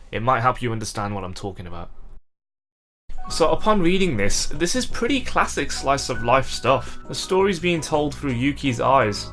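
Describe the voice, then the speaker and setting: Male video game reviewer, slightly higher than average, very lively intonation, chatty and mock-exasperated. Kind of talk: casual, comedic review narration.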